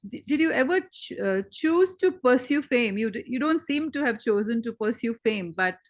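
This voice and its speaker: Woman, interviewer, Tamil mother tongue, attentive, halting questions, slightly high voice. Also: animated. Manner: attentive, brief affirmations, questions with halting restarts. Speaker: interviewer, host. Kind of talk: conversational interview.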